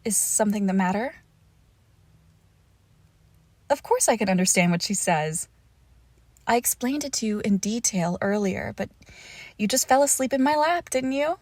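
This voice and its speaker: A woman playing a lovesick, possessive witch in an intimate ASMR roleplay, coaxing and teasing her captive, slipping between soft speech and slow whispers. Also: mid-range voice, lively intonation, no echo, natural voice. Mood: doting, teasing.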